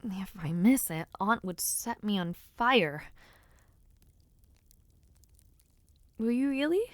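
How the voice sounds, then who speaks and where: A woman acts out a young noblewoman who hopes to become a knight, in an intimate fantasy audio roleplay. She takes her time, choosing refined, slightly formal words with sincere affection and a self-deprecating streak. The sound is close and dry, with a mid-range pitch. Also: animated, no echo, close to an everyday voice. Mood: sleepy, teasing. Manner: gently formal, refined phrasing, unhurried and sincere. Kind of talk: audio roleplay, intimate one-sided dialogue to listener.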